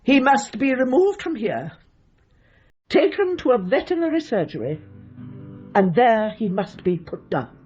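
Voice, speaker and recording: An imperious older lady dog trainer, speaks with grand, formal pomposity and swooping, animated intonation. She presses her point relentlessly in a gently comic radio play, on a slightly dull recording. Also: a little high, measured pace, long pauses, faint music behind. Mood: grave, pompous. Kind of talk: radio comedy drama acting.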